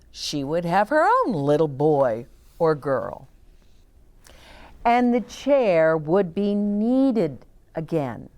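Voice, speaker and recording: A woman's voice: low-pitched, a little mellow. A woman reading a children's storybook aloud as a story time host, with short explanatory asides to young listeners.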